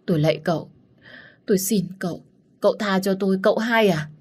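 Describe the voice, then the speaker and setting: A woman's voice: mid-range voice, fairly quick, a little mellow, breathy edge, pitched above the performer's own voice, close to an everyday voice. A woman voicing a pitiful old female servant who pleads desperately for mercy, wailing as she begs. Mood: begging.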